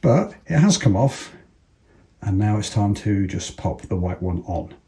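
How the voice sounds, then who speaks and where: A British man with a low-pitched voice presents a practical how-to. He explains each step casually as he works through it. His delivery is informal and unhurried, as though he is thinking aloud. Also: sing-song, warm tone. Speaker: presenter of a product installation tutorial. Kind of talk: casual step-by-step hands-on demonstration.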